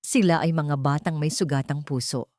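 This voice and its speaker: A woman with a Filipino accent narrating a dramatic audiobook story in a measured, expressive way, phrase by phrase. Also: low-pitched, no echo, clean studio sound.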